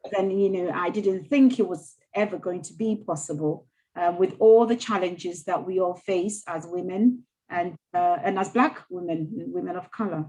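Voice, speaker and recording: Soft-spoken woman, halting speech. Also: on the low side, rough recording. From Ghana. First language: not English. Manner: soft, halting, with many fillers and restarts. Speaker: mayor, interview guest. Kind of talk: casual interview conversation.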